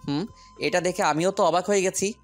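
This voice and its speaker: A man's voice: sits high, a little fast, soft background music, close to an everyday voice. A man playing a determined businessman hero in an audio story, speaking firmly and with purpose. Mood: purposeful. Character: determined businessman hero, commanding boss, loyal friend.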